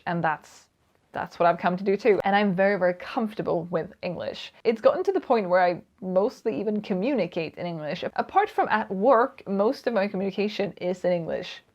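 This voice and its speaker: A woman's voice: lowish voice, darkish tone, lo-fi sound. A young Swedish woman vlogging casually about writing, speaking English with a Swedish accent in a chatty, self-deprecating way. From Sweden. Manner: chatty, self-deprecating, tends to restart and repeat words. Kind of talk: casual talking-head vlog.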